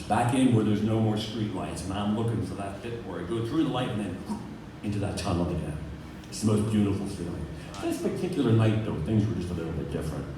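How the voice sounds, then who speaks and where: A man with an Irish accent telling a personal story live, in a dramatic, conversational way. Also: lowish voice. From Dublin, Ireland. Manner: dramatic, conversational, uses profanity and rhetorical questions. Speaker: amateur storyteller at a storytelling night. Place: live event room. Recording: very echoey room, distant mic, clearly noisy.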